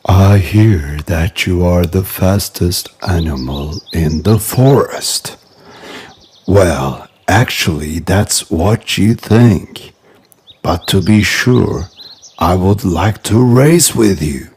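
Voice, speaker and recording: A man voicing a calm, quietly confident turtle in a children's fable, deep and gravelly, speaking in an unhurried, deliberate way. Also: heavily acted. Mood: calm, challenging.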